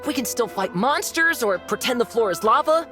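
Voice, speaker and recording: A woman's voice: fairly high pitch, animated, normal speed, natural voice. A woman voicing a playful, encouraging childhood imaginary friend in a video game cutscene. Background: clear background music.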